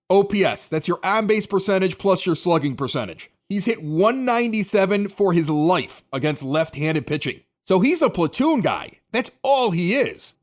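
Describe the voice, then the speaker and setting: A middle-aged American man hosting a sports podcast, giving opinionated, emphatic conversational commentary. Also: sits high. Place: home basement studio.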